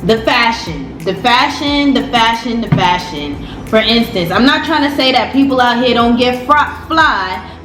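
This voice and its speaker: A woman's voice: fairly high pitch, fairly bright tone. Chatty Brooklyn woman in an echoey room. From Brooklyn, New York City. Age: 30s. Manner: chatty, repeats phrases, slangy. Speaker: vlogger. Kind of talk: casual story time chat.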